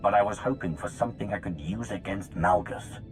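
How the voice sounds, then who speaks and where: An actor playing a composed, earnest, resolute male hero in a video game cutscene, speaking in a measured way. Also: on the low side, over music, natural voice, low-quality recording. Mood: guarded, questioning.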